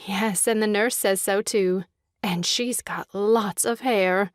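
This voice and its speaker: Woman acting a sweet, slow-spoken young sister. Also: medium pitch, steady tone, no room sound, clean studio sound, close to an everyday voice. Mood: sweetly pleased. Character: gentle, fond young sister, sweetly soothing. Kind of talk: comic stage farce acting.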